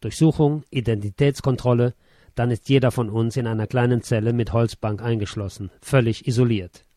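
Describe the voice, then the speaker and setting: A man reading a first-person memoir as scripted narration, in a measured, sober way. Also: mid-range voice, fairly even intonation, warm tone, no room sound, clean studio sound.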